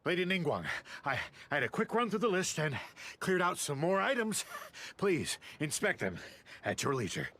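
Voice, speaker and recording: An actor playing a nervous, eager-to-please warehouse clerk in a video game, with a flustered, stammering manner. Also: male voice, sits high, sing-song, moderate pace, a bit bright, heavily acted. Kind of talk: video game character acting.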